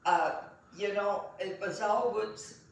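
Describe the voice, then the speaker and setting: Slow-talking woman in her nineties. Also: medium pitch, steady tone, a little hiss or hum. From Belgium. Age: 90s. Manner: unhurried, halting, many fillers and restarts. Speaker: interviewee. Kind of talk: reminiscing storytelling. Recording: echoey room, voice set far from the mic.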